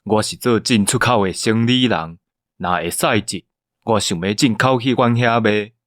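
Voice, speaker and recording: Businessman played by a man, inquisitive dealmaker, fairly low dry voice, Taiwanese accent, radio drama. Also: moderate pace, a bit bright, dry recording, natural voice. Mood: curious, eager. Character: curious import-export businessman, keen to trade. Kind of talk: audio drama dialogue.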